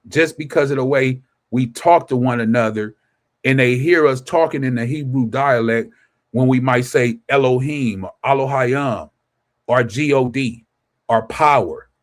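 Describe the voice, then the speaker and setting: A man reading scripture aloud and preaching informally to an online audience, asking rhetorical questions and answering them himself. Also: mid-range voice. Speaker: scripture teacher. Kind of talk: informal scripture study livestream.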